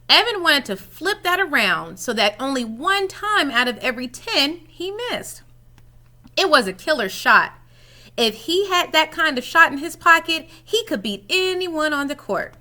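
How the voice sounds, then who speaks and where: A woman reads a children's storybook aloud with a bright, higher-pitched voice. Her delivery is very lively and expressive, swinging through the sentences as she dramatizes the action. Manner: animated, dramatizes dialogue for children. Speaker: reading coach reading aloud.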